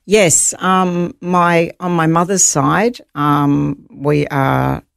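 A woman with a New Zealand accent, a guest telling her life story in an earnest, halting way with many fillers. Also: low voice, level tone, creaky, no echo, clean studio sound. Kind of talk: personal testimony interview.

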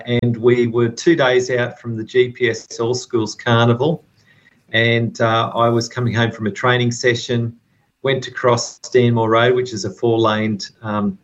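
A man in his fifties with an Australian accent, an interview guest telling his story in a relaxed way with many ums and uhs. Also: medium pitch, muffled recording. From Sydney, Australia. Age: 50s.

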